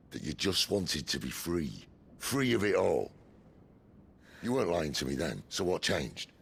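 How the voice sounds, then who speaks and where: A man's voice: very deep voice, a little hiss or hum, full character voice. An actor playing a weary male outlaw leader in a game cutscene, dry and sardonic. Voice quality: gravelly.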